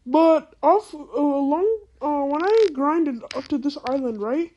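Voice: high voice, animated, measured pace, warm tone, highs cut off, slight background noise. A female-sounding voice through a voice changer, giving casual gameplay commentary in an exclamatory, incredulous, slangy and repetitive way. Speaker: gaming video host.

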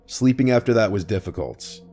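A man tells a first-person horror tale in a scripted, measured narration. His voice sits low and hushed throughout. Soft music plays faintly beneath him. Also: expressive.

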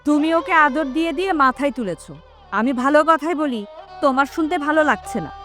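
Lady playing a naggy fed up village mom in a radio play, pretty high voice, bit of music under it. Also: expressive, brisk, fairly bright tone. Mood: scolding, exasperated. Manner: nagging, reproachful. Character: scolding, exasperated village mother of a mute girl. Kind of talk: Bengali audio drama acting.

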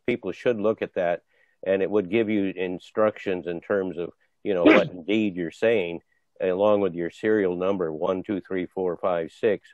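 A man with a very low voice offers informal comments. He explains in a halting way, and the sound is close, dry and somewhat dull. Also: a little mellow, dry recording. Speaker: club member commenting. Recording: dull, dry video-call audio.

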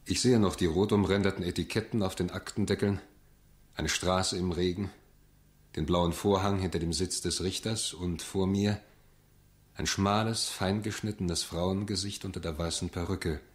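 An actor playing a reminiscing narrator in a radio crime drama, telling the story in a measured way. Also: male voice, low voice, fairly even intonation, lightly acted. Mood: reflective. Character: reflective male narrator recalling an old court case.

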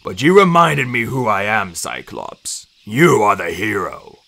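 A man's voice: lowish voice, sing-song, a little slow, gravelly, bright, forceful tone, heavily acted. A man voicing a humble, solemn hundred-handed giant in a storybook. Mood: solemn gratitude.

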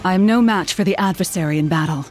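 A woman's voice: on the low side, quick pace, darkish tone, faint music behind, close to an everyday voice. An actress playing a devout young female holy knight in a video game, earnest, formal and resolute. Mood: solemn resolve.

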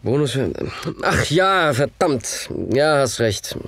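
A man's voice: mid-range voice, lively intonation, slow, fairly bright tone. A man playing a muddled, stammering announcer in a comic audio drama sketch. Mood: flustered, sheepish. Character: flustered, muddled male announcer.

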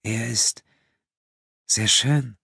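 A man voicing a conciliatory woman in an audiobook, gentle in manner yet firm. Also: medium pitch, fairly even intonation, very slow, vocal fry, breathy edge, dry recording, clean studio sound, voice pushed up, heavily acted. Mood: tender. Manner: soft but firm, conciliatory. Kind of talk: audiobook character acting.

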